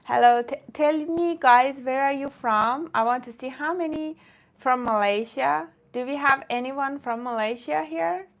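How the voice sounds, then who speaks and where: A woman's voice: sits high, a little slow. A woman from Iran who streams about fitness, chatting casually with viewers in a rambling way and often breaking off to greet commenters.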